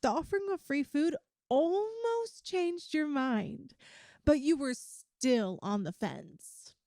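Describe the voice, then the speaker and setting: A woman voicing a sweetly obsessive young yandere girlfriend in an ASMR roleplay, talking coyly and chattily to the listener with halting, drawn-out emphasis. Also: sits high, animated, mellow, no room sound, clean studio sound, full character voice. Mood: sweetly doting. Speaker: audio roleplay performer.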